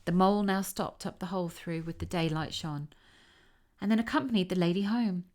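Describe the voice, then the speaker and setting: A woman with a slightly low voice narrates a storybook tale. She reads aloud with care and expression, as a storyteller does. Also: brisk, a little mellow.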